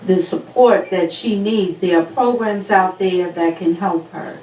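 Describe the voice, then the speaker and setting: A woman speaking in a measured, advising way on a talk show. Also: lowish voice, a little mellow, roomy sound, distant mic, slight background noise, rough recording. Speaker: panelist.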